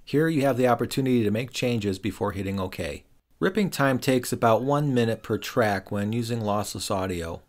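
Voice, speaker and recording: A man's voice: medium pitch, moderate pace. A middle-aged man reads a scripted product review voice-over in a wry, opinionated way. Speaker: reviewer and host.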